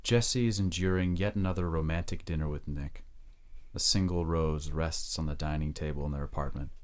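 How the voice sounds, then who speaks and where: A man's voice: extremely low pitch, moderate pace. A man narrating a scripted audio drama as its storyteller.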